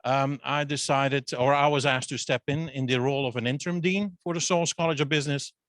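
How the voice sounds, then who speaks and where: A man's voice: medium pitch, steady tone, a little fast, a bit bright, no room sound. A middle-aged man from Belgium with a Flemish accent, a business school dean introducing himself at a student meeting in a loose, chatty way. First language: Dutch. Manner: loose and conversational, restarts with fillers. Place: meeting with a Zoom link. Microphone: handheld microphone.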